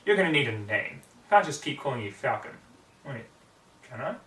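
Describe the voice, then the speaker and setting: A man voicing a brash, cocky young female pegasus pony from a story, hammy, blunt and excitable, in a roomy, distant recording. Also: medium pitch, fairly quick. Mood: deliberating. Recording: roomy echo, distant microphone.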